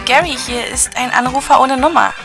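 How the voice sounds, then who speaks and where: An actress playing a flustered radio station assistant in a radio drama. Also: female voice, high-pitched, fairly even intonation, brisk, gravelly, over music. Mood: worried.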